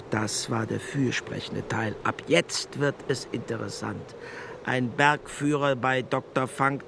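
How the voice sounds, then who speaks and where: An actor in a German radio drama playing a haughty, carping Nazi minister who makes pedantic, imperious pronouncements. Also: male voice, medium pitch, creaky, background noise.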